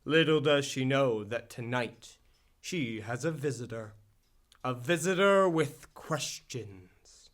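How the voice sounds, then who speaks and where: A man's voice: medium pitch, expressive, takes it slowly, fairly bright tone. A man narrating a scripted radio drama as a storyteller.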